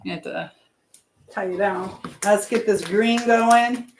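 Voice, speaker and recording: A woman's voice: mid-range voice, slow pace, reverberant, a little hiss or hum. A woman hosting a live stream in casual chat, drifting informally between advice to viewers and comments on what she is doing.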